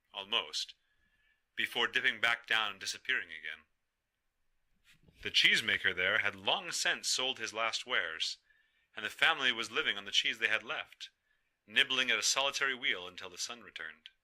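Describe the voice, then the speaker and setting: Forceful, bright-voiced male storyteller. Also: on the low side, poor audio. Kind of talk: dramatic folktale narration.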